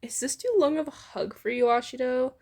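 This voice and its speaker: A woman's voice: high-pitched, fairly quick, vocal fry, a little mellow. A woman voicing a polite, formal and bashful young man in a fan fiction reading. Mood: worried, bashful. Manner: formal, considerate.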